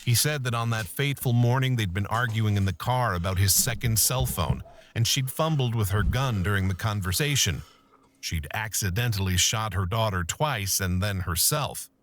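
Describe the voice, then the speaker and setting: A man narrating a true-crime documentary in a measured, scripted storytelling manner. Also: fairly low pitch, a bit bright, a little hiss or hum.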